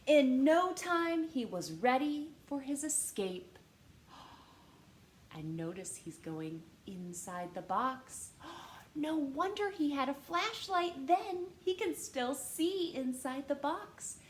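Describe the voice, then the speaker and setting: Expressive high-pitched woman reading to children. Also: slow pace. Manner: expressive teacher-like delivery, dramatic gasps and emphasis. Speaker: storytime reader. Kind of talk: animated children's read-aloud with questions and word explanations. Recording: roomy echo with faint background noise.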